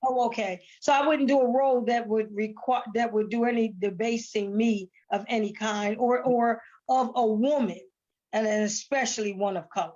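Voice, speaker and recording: A woman's voice: a little high, fairly even intonation, normal speed, darkish tone. An American woman speaking earnestly and casually as an interview guest on a video call, repeating words for emphasis. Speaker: stage play cast member as guest. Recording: dull online call audio.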